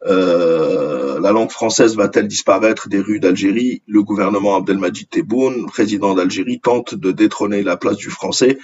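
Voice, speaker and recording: A man's voice: lowish voice, a little mellow, breathy edge, dull audio. A man from Algeria, a native Arabic speaker, giving indignant, opinionated news commentary and repeating phrases for emphasis. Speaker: online commentator. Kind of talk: opinionated commentary on news articles read aloud.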